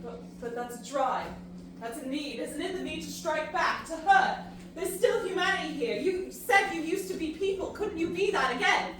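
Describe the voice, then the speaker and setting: A woman playing an earnest, disillusioned human pleading in an audio drama, halting and emphatic in her delivery. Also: sits high, animated. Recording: very echoey, distant, noisy ambience.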